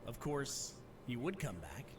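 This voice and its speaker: An actor playing a gentle father who tells a fairy tale at bedtime in a measured, dramatic storyteller's way. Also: medium pitch, big pitch swings, noisy background. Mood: measured storytelling. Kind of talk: scripted storybook narration in a cartoon. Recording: music behind the voice.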